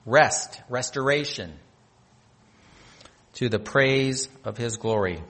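A man's voice: mid-range voice, slow, creaky, a bit bright, big-room sound. A man from North Dakota preaching a sermon, reading scripture aloud with rising emphasis on key phrases. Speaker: pastor.

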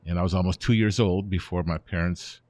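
A man in his seventies whose first language is Ukrainian, speaking as an interview guest and explaining history in an unhurried, storytelling way. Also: low-pitched, steady tone, a little mellow, dry recording. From born in Germany, raised in the United States. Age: 70s.

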